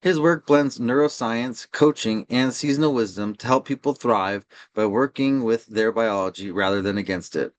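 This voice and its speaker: A man with a medium-pitched voice serves as podcast host. He delivers a scripted introduction in a presenter's style, laying out a guest profile in full sentences. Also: moderate pace, hardly any pauses.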